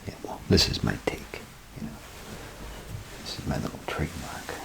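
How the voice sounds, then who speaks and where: A man doing a soft-spoken ASMR role play as a bathroom attendant, rambling casually and unhurriedly to the listener in a breathy, gravelly half-whisper. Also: low-quality recording. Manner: unhurried, casual, folksy muttering with pauses.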